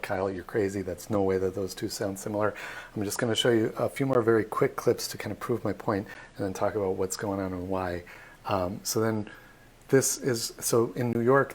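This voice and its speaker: A man with a fairly low voice talks through a live online tour. He explains in a chatty, animated way, and his voice lifts and drops as he gets excited. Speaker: tour guide.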